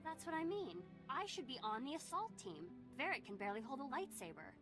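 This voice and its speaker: Young jedi girl in a game, high swoopy voice, eager and pushy, music playing. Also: sing-song, fairly quick. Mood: protesting. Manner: insistent, impassioned. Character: headstrong, eager young female Jedi apprentice.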